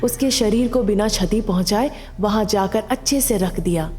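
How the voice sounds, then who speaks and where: A woman's voice: mid-range voice, moderate pace, darkish tone, long reverb tail, faint music behind. A woman narrating a scripted story as a storyteller.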